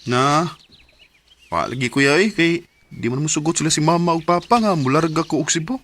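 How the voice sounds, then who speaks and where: An actor playing a dutiful young farm son in a Bisaya radio drama, earnest and deferential, with a Cebuano Filipino accent. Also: male voice, fairly high pitch, normal speed, fairly bright tone, slight background noise, lightly acted. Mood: wistful. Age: young adult. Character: dutiful young son from a poor farming family.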